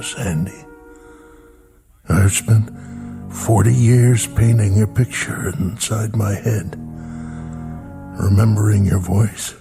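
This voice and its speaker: Weary old space pilot, gravelly and slow. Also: male voice, low-pitched. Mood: tender, wistful. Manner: halting, wistful, grave. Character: weary, aged male space pilot, lonely and resigned. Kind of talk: mid-century television drama acting. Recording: vintage soundtrack with loud music behind. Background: dramatic music.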